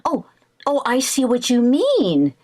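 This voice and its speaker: An actress playing a sarcastic housewife who makes dry wisecracks, in vintage radio sitcom style. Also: female voice, sits high, wildly swooping pitch, takes it slowly, a little mellow, heavily acted. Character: sarcastic young housewife bristling at her mother-in-law.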